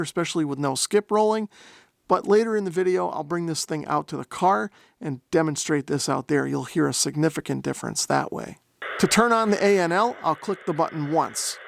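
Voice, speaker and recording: A man's voice: fairly high pitch, animated, brisk, vocal fry, dry recording, clean studio sound. A man narrating a product demonstration in a methodical, step-by-step explanatory way. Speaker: host of a radio gear review channel.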